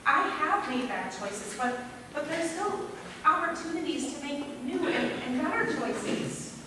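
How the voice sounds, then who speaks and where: A woman in an amateur stage play playing a wistful, regretful middle-aged aunt, speaking haltingly and earnestly. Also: high-pitched, animated. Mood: earnest, tender. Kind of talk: amateur one-act stage play acting. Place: stage in a hall, indoors. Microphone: distant audience camera. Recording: echoey hall from far off, noisy.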